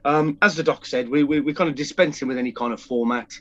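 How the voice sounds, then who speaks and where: A man's voice: medium pitch, quick pace, no room sound, highs cut off. A man co-hosting a casual podcast with a cold, a bunged-up voice, rambling and restarting his sentences. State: congested with a cold. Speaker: podcast co-host. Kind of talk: casual chat.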